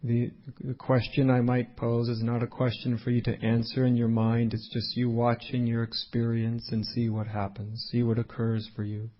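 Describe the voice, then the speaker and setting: A man leading a guided meditation as a teacher, speaking unhurriedly in short phrases with long pauses. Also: medium pitch, monotone, lo-fi sound.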